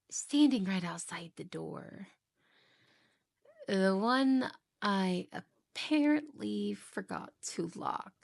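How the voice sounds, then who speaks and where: A woman's voice: a little high, gravelly, heavily acted. A woman playing a cheerful, chatty elf who loves Christmas and passes as a human roommate, in an ASMR roleplay. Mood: uneasy, hushed. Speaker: roleplay voice actress.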